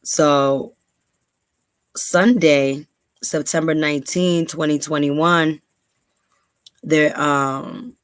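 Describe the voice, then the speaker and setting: A woman's voice: deep voice, no room sound. Earnest New woman vlogger. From New York, USA. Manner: earnest, many ums and repeats. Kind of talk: casual talk to camera, then reading aloud.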